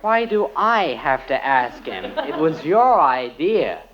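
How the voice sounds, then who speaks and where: An American male actor playing an excitable, aggrieved teenage son in an old-time radio family comedy, blurting complaints. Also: on the high side, takes it slowly. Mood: indignant. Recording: dull old radio recording.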